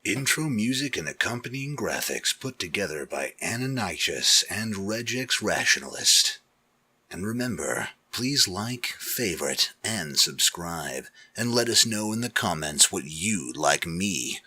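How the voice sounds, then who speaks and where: A man narrates a story in a very deep, forceful voice with a rough, husky edge. His delivery is dramatic and swings widely in pitch, and the recording is dry and close. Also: big pitch swings, slow pace, no echo. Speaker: narrator of fan fiction readings.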